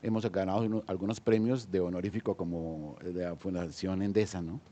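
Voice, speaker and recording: A man's voice: sits low, steady tone, brisk, dark tone, dull audio. A man with a Colombian accent, an entrepreneur speaking on a panel, hesitant with many fillers and restarts. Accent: Colombian Spanish. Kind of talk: panel discussion.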